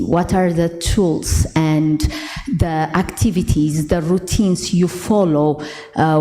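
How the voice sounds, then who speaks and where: Woman, lowish mellow voice, audience question, reverberant hall. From Ethiopia. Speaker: audience member asking a question. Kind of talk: spoken question to a panel.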